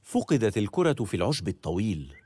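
Low-voiced amused woman narrator. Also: very animated, quick pace, dark tone, a little hiss or hum. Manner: amused, storytelling. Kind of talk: scripted cartoon narration.

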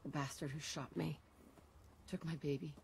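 A woman's voice: low voice, steady tone, moderate pace, slight background noise. An actress voicing a shaken young mother surviving in a wasteland in a video game. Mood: bitter, resolute. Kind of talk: video game dialogue acting.

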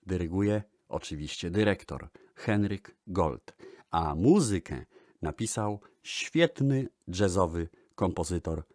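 A man's voice: deep voice, darkish tone, no echo, studio quality. A man presenting music history in a radio style, formally and eloquently, addressing his audience politely. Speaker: host presenting archive records.

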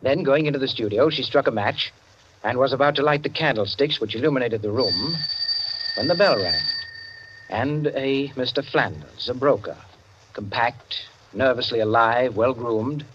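An actor playing an urbane storyteller, narrating 1940s radio drama in a measured, literate, deliberate manner. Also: male voice, mid-range voice, a bit bright, breathy edge. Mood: composed, wry. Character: urbane storyteller of a society mystery. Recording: muffled old radio recording with music.

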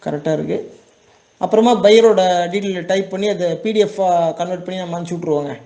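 A man with a high voice and a soft, mellow tone walks through a tutorial informally. He speaks fast in a Tamil Nadu accent. His manner is plain and reassuring, often checking that listeners follow. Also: creaky. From Tamil Nadu, India. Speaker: export business owner giving a tutorial.

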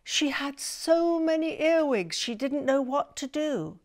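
A woman's voice: high voice, animated, slow pace, voice pushed up. A woman voicing a helpful male earwig friend who recites rhymes in a sing-song storybook manner. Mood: eager reciting.

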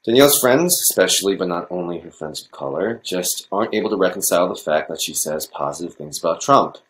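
A man in his twenties playing a young school counselor in a drama. He is earnest and concerned, explaining things in a very low voice. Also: animated, quick pace, a bit bright, low-quality recording.

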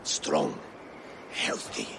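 An older actor playing an aging, proud and warm Viking chieftain in a video game, with a gravelly, weathered voice. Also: male voice, extremely slow, background noise. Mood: warm, weary. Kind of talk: video game cutscene acting.

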